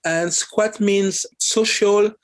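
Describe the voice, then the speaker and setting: A man from France with a French accent, chatting casually as an interview guest and often pausing to search for words. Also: sits high, steady tone. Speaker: guest musician.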